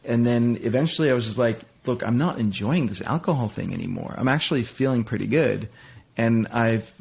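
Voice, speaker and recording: Man, middle-aged, from Denmark, gravelly voice, interview guest, halting and candid. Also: medium pitch, lively intonation, a little mellow. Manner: halting, many restarts and fillers, candid. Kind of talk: reflective personal conversation.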